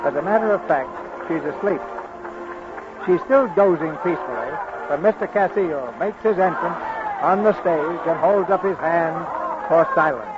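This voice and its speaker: A man's voice: fairly high pitch, animated, normal speed, over loud music, a loud crowd. A man hosting and narrating in a polished, theatrical, scripted 1940s radio style. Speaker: host and narrator. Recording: dull vintage radio recording.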